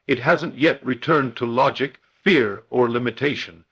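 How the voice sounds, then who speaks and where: A man with a mid-pitched voice narrates a scripted guided meditation. He speaks as a motivational guide, with solemn and deliberate phrasing that has the shape of a formal address. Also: fairly bright tone, breathy edge.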